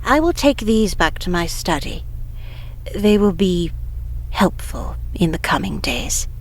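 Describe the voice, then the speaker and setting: Visual novel role: devout, watchful older nun, stern and measured, very lilting intonation. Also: female voice, on the low side, very animated, full character voice. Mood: politely stern. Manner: measured, formal, admonishing.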